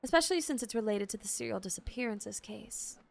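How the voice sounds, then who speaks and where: A woman streamer casually reacting to a video game in short, expressive exclamations. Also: fairly high pitch, fairly quick, vocal fry. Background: faint game music.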